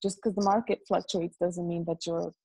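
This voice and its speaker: A woman from the Dominican Republic talks over a video call from a small dorm room. Her voice is gravelly and mellow, and the sound is dry. She tells her stories in an animated way and repeats words as she goes. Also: on the low side, normal speed, no room sound, low-quality recording. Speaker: guest, business coach. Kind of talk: casual interview storytelling.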